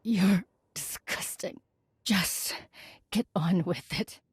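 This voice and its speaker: Defiant, anguished Jedi. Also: female voice, on the low side, takes it slowly, breathy edge, no echo, heavily acted. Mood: bitter defiance. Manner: accusing, emotionally intense. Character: defiant, anguished female Jedi, righteous and angry. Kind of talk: video game cutscene acting.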